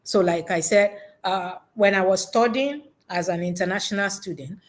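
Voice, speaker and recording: A woman's voice: mid-range voice, normal speed. A woman giving unscripted, conversational interview answers as an education consultant, reflective and rephrasing her points for emphasis.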